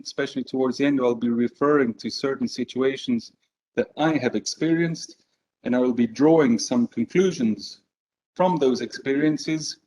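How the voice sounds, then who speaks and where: A man born in Belgium giving a business presentation at a conference, measured and hesitant, with frequent fillers. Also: mid-range voice, a little mellow, poor audio. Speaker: conference speaker.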